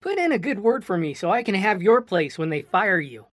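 A woman's voice: mid-range voice, very animated, fairly quick, no room sound, clean studio sound. A woman voicing a cheeky, enthusiastic young man who teases his boss in a lilting way, in an audiobook. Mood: teasing. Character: chubby boyish young male tech worker, cheeky.

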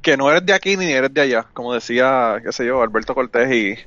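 A man's voice: medium pitch, a little fast, fairly bright tone, dry recording, highs cut off. A man with a Puerto Rican accent chatting casually as a podcaster, frank, slangy and self-deprecating, over an online call. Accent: Puerto Rican Spanish. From Puerto Rico. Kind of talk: casual chat, joking and frank.

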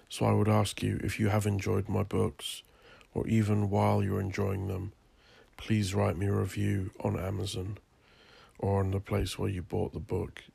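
A man narrates from a script with solemn, measured care, as an author hosting his podcast. His voice is low and fairly even in pitch. The sound is close and dry. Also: creaky, breathy edge, no echo. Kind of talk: scripted dramatic gothic narration and podcast sign-off.

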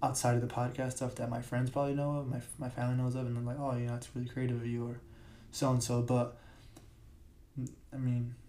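A young man hosting his own podcast, his voice raspy today, talking casually with many fillers and restarts, recorded in a big room. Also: medium pitch, monotone, brisk, warm tone, slight background noise. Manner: rambling, filler-heavy, self-correcting. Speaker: podcast host. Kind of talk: casual solo podcast talk. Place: large echoey room. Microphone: podcast mic.

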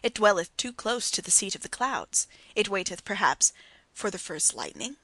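A woman reading the part of a calm male prophet in an audiobook, measured and solemn. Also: medium pitch, no room sound. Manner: measured, solemn archaic speech.

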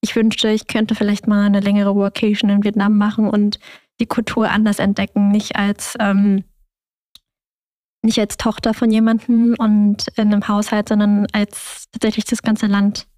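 A woman's voice: medium pitch, completely flat delivery, normal speed, darkish tone, dry recording, clean studio sound. A young woman from Germany chatting casually as a podcast host. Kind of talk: casual interview chat.

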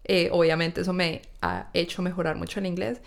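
A woman's voice: lowish voice, fairly even intonation, a little fast, gravelly. A young adult woman, a podcast guest in casual conversation, earnestly explaining things step by step, recorded in an apartment. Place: apartment living room.

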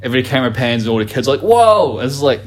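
Young kiwi dude rambling on a podcast, swears a lot, keeps laughing, normal pitch. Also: male voice, sing-song, rapid-fire, faint music behind. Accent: New Zealand. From New Zealand. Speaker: podcast co-host. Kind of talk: casual chat.

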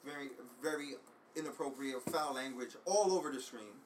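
A man's voice: medium pitch, moderate pace, a little hiss or hum, low-quality recording. A man co-hosting a casual chat, adding wry, profane remarks. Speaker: podcast co-host.

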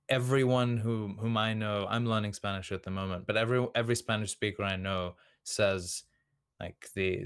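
Relaxed Australian man, low smooth voice. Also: no room sound, clean studio sound. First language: Serbian. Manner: chatty, lots of "like" fillers. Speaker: podcast guest. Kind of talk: casual conversation.

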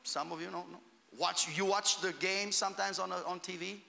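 A man from Romania, preaching a fervent sermon in a church that builds to shouts on key phrases. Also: high-pitched, fairly even intonation, normal speed, gravelly, big-room sound. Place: church hall.

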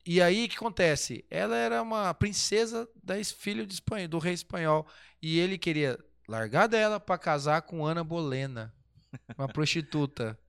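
A man chats through history in a smooth, slightly higher voice. He rambles, breaks off mid-word and answers his own rhetorical questions. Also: animated, moderate pace, a bit bright. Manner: rambling, restarts often, asks himself rhetorical questions. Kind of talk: casual history chat.

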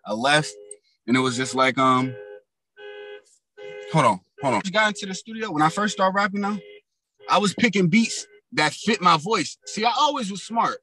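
A raspy young man telling his story in loose, slang-heavy casual talk as a rapper guest. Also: sits high, expressive, normal speed, pauses often, bright, forceful tone, soft background music, poor audio.

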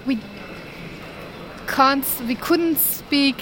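A woman's voice: sits high, extremely slow, a bit bright, slight background noise. A Turkish German woman raised in Germany, speaking English as a second language, answering interview questions hesitantly and searching for words. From Turkish German, grew up in Germany. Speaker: interviewed artist. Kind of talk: casual interview conversation.

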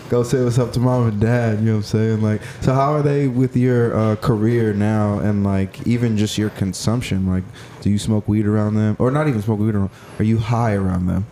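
A man with a fairly low voice co-hosts a casual podcast interview. He talks in loose, slangy banter and laughs often. A faint noise floor sits under the recording. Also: normal speed, hardly any pauses, dark tone. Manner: laid-back slangy banter with frequent laughs. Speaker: podcast co-host.

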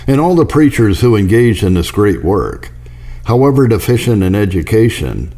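A man reading church history aloud as an audiobook narrator, in a measured, formal manner. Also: on the low side, sing-song, mellow. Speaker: audiobook reader.

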